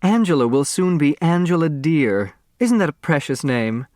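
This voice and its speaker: A man's voice: fairly high pitch, big pitch swings, normal speed, darkish tone, dry recording, studio quality, voice pushed up. A man voicing a snobbish, fussy middle-aged mother in an audiobook, with a haughty manner. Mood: gushing. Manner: haughty, scolding, gushing.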